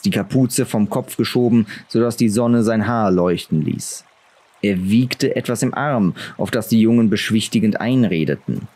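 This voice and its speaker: A man's voice: fairly low pitch, a little mellow. A man reading a fantasy novel aloud as a dramatic audiobook narrator.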